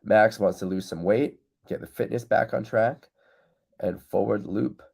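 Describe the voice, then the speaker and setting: A man's voice: on the low side, unhurried, creaky, a little mellow. A man hosting a live windsurf coaching stream, chatting casually and answering viewers' comments while still congested from losing his voice. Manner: reads out viewer comments and answers them directly.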